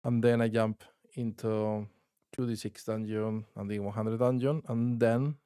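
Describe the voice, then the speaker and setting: A man's voice: on the low side, steady tone, measured pace, mellow, clear, resonant tone, no room sound, clean studio sound. A man from Spain with a strong Spanish accent, hosting his tabletop gaming channel in casual, rambling talk with many restarts. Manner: rambling, frequent restarts and fillers. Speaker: channel host.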